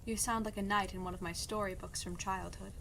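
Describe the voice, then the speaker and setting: A woman's voice: mid-range voice, fairly even intonation, fairly quick, gravelly, a bit bright, a little hiss or hum. A woman playing a guarded, exasperated young princess in an audio drama, with a blunt, dry, doubtful way of talking. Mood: resigned, dry. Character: skeptical, exasperated young princess fleeing arranged marriage, guarded. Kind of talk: scripted audio drama acting.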